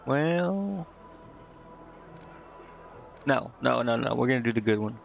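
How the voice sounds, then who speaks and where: A man giving casual, informal let's-play commentary as a game streamer, talking in a halting way. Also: mid-range voice, lively intonation, gravelly. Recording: dull lo-fi mic, music behind. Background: game music.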